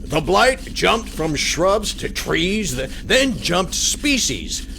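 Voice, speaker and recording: High-pitched, creaky nervous underling. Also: male voice, very animated, slow, sharp tone, a little hiss or hum, full character voice. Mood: earnest, nervous. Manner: stammering, over-explaining. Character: earnest, nervous male underling delivering a report. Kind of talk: audio drama acting.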